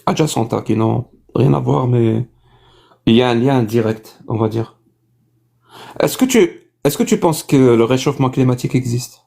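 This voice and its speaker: A man's voice: medium pitch, moderate pace, gravelly, dark tone. A man hosting a casual online debate, asking the guest teasing, pointed questions. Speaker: debate host.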